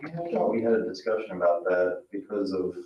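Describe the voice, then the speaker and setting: A man's voice: deep voice, lively intonation, fairly quick. A man taking part in an informal discussion at a board meeting. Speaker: meeting participant. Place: indoor public meeting room. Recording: dull, echoey, distant microphone.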